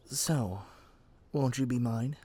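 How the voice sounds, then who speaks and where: A man's voice: medium pitch, takes it slowly, dark tone, breathy edge. A man playing a lovestruck, possessive young male witch in an ASMR roleplay, chatty and gushing with flustered hesitations. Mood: tender, devoted. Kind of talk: ASMR roleplay addressed to the listener.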